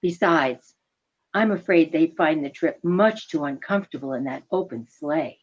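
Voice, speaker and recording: A woman's voice: low-pitched, normal speed, breathy edge, lo-fi sound. A woman reading a gracious, affectionate female reindeer in a radio play, genteel and effusive. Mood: solicitous.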